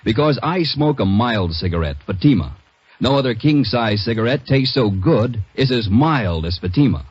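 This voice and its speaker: A man with a husky voice reading a scripted testimonial for a 1950s radio advertisement. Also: medium pitch, animated, normal speed. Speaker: testimonial speaker in cigarette ad. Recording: dull old radio broadcast.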